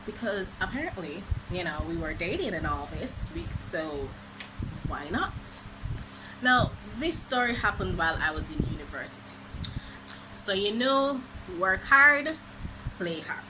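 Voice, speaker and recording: A young woman from Jamaica with a Jamaican accent, telling a dramatic storytime for her vlog in an animated, exclamatory way. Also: on the low side, a little slow. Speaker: vlogger. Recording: noisy background ambience.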